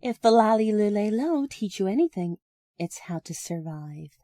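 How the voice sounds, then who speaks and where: A woman playing a sweet-talking, slyly passive-aggressive ex-partner of a soldier in a comedic game parody, prim and breezy with dark understatement. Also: medium pitch, lively intonation, moderate pace, natural voice. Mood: sweetly sly.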